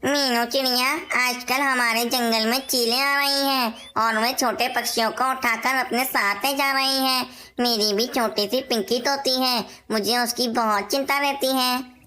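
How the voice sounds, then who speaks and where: A woman plays an anxious parrot king in a children's cartoon. The voice is high and very bright, with an earnest, declamatory delivery of a dutiful ruler and father. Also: fairly even intonation, unhurried. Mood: instructing. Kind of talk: Hindi cartoon voice acting.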